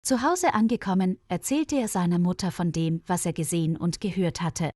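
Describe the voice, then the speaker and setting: A woman reading a scripted mystery story for language learners in a measured, careful storyteller's delivery. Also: lowish voice, warm tone, no room sound, clean studio sound.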